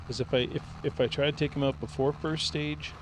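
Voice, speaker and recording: A man streaming a farming game, thinking aloud in a relaxed, rambling way as he plays. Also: on the high side, a little fast, a little hiss or hum, low-quality recording. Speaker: gaming streamer. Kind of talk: casual gameplay commentary.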